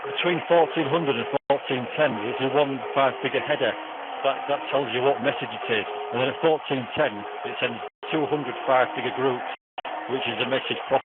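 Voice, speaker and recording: A man, a longtime radio hobbyist, explaining things in a measured, matter-of-fact way. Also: medium pitch. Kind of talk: explanatory interview answers. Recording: narrow phone-like sound with loud noise.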